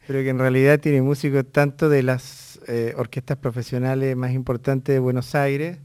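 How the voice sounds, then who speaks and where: Chilean man speaking in measured tones. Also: mid-range voice, level tone, a little mellow, smooth, no room sound, clean studio sound. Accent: Chilean Spanish. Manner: measured, thoughtful, with frequent hesitations. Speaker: orchestra director, guest. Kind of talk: radio interview.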